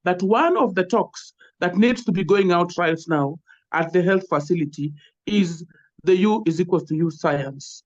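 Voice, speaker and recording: A woman's voice: fairly low pitch, a little slow. Kenyan panelist lady, luo is her first language, earnest, goes point by point, muffled lo-fi call audio. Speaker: webinar panelist. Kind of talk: online panel talk. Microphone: video call. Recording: dull lo-fi video call audio.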